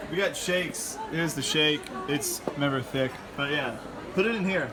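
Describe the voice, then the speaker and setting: A man's voice: a little high, a little slow. A man vlogging casually on a handheld camera, joking and laughing often as he talks. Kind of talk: casual vlog chat. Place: outdoors and in a busy burger restaurant. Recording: noisy crowd around.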